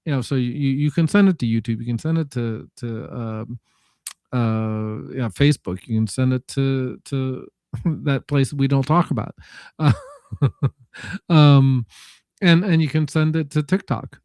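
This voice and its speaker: A man in a casual tech discussion, speaking haltingly and correcting himself as he thinks aloud. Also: mid-range voice, a little mellow, clear, resonant tone, no echo, clean studio sound.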